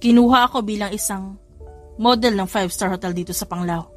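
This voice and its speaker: A woman's voice: mid-range voice, brisk, soft background music, natural voice. A woman voicing an earnest young man in a romance audio story, speaking in an insistent, emotional, pleading manner. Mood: calm, persuasive.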